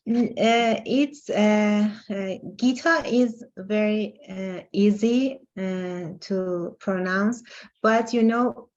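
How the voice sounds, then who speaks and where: A woman's voice: mid-range voice, darkish tone. Hesitant woman from Iran on a call. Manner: hesitant, many pauses and fillers, laughs often. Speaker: workshop participant. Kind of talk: casual introduction on a video call. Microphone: video call. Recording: dull lo-fi call audio.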